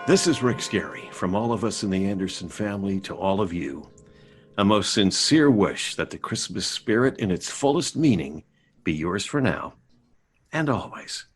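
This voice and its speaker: A man acting a warm, fatherly family man in an old-time radio sitcom, with a husky voice. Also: on the low side, expressive, moderate pace, natural voice. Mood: sincere, warm.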